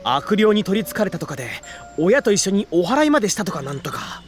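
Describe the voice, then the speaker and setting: A casual, brash teenage schoolboy from a Japanese visual novel. He speaks quickly with a lively, swooping pitch over clear background music. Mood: gossipy.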